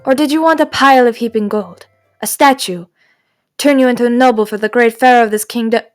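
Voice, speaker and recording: A woman's voice: on the high side, a little fast, soft background music, close to an everyday voice. A woman voicing a sassy, spiteful and insecure male god of wishes in a fantasy audio roleplay, theatrical, indignant and whiny. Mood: grudging, annoyed.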